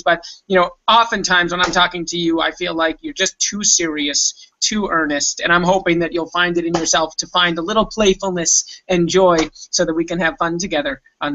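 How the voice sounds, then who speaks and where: A man's voice: sits high, pushed and bright, slight background noise. A middle-aged man from the Boston area of the United States, co-hosting in a casual conversational way over a video call. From North Shore of Boston, United States. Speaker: co-host and school head. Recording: dull lo-fi online call audio.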